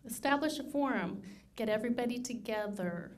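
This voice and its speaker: Brief: woman, 30s, conference speaker, soft voice, persuasive and lively, podium mic in a meeting room. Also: a little high, a little fast. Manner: energetic, persuasive, rhetorical questions. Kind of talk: conference presentation. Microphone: podium microphone.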